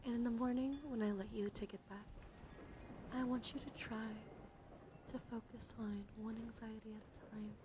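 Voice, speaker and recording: Gentle ASMR woman, often whispering, noisy background. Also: on the high side, fairly even intonation. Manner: slow, halting short phrases, often dropping into whispers; gentle, reassuring. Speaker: live streamer, ASMR host. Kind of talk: ASMR roleplay, soothing bedtime monologue.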